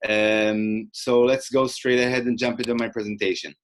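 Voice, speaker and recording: A man giving a conversational webinar presentation over a video call, with many fillers and pauses as he shares his slides. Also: medium pitch, level tone. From Australia, half. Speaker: webinar speaker.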